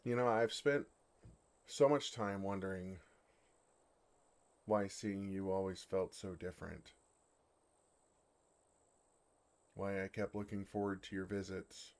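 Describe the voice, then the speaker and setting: A man voicing a bashful, earnest dragon in love in an ASMR fantasy roleplay, speaking tenderly with hesitant, awkward pauses. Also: low-pitched, fairly even intonation, darkish tone. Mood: tender realization.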